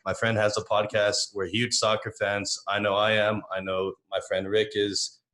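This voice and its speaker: A male podcast host with a low voice who talks in a relaxed, upbeat way. He gives friendly shout-outs and asks easygoing questions. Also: moderate pace. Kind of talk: casual podcast chat.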